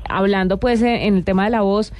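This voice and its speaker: A woman's voice: medium pitch, fairly even intonation, fairly quick, darkish tone, no echo. A woman hosting a casual radio interview in a conversational style. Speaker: radio host.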